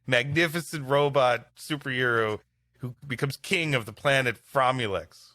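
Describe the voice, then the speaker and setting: A man's voice: mid-range voice, normal speed, sharp tone, dry recording, clean studio sound. A man co-hosting a comedy show, bantering and narrating stories. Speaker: podcast co-host and story reader. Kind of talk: comic banter and storybook narration.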